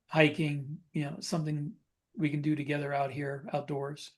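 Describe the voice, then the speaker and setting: A man's voice: on the high side, level tone, moderate pace. A man talking casually as a podcast host, informal and given to repeating points for emphasis.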